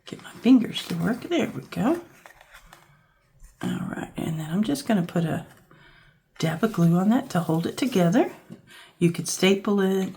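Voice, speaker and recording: A woman with a scratchy, hoarse voice from a cold, casually talking through a craft project as she works, thinking aloud. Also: medium pitch, moderate pace, long pauses. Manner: relaxed, thinking aloud while working with her hands. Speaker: craft channel host. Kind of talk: casual crafting tutorial narration.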